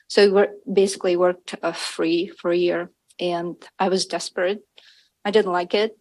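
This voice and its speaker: A woman's voice: medium pitch, no echo, poor audio. Kazakh-native woman translator, mid-range voice, reflective panel talk, close dry sound. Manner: thoughtful, pauses often with "uh" and "um". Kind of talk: personal account on a panel. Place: university symposium event.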